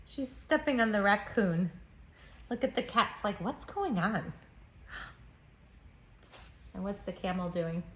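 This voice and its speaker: A woman reading a picture book aloud to children in an expressive, affectionate, playful way as a storytime host. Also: medium pitch, moderate pace, slight background noise. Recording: roomy echo, faint music behind. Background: faint music.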